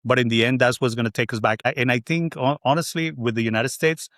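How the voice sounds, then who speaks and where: A man's voice: medium pitch, steady tone, fast, a bit bright, dry recording, clean studio sound. A middle-aged man from Colombia hosting a podcast interview, talking in long rambling runs with fillers and restarts. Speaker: podcast host. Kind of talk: interview conversation.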